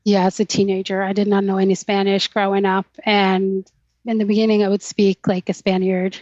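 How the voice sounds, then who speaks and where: A woman's voice: mid-range voice, monotone, brisk, a little mellow, no room sound, highs cut off. A Swedish-born woman whose first language is Swedish, a podcast guest speaking candidly and thoughtfully about her life. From Sweden. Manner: reflective, thoughtful, with light laughs. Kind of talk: candid personal interview.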